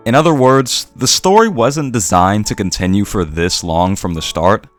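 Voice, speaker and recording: A man's voice: lowish voice, expressive, normal speed, faint music behind. A man hosting and narrating a scripted video essay.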